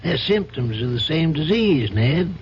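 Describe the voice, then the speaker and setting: An older judge in a vintage radio play, compassionate and paternal. His voice sits a little high, and he reasons in a measured, probing way. The recording is dull with faint noise. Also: very animated. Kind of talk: old-time radio drama acting.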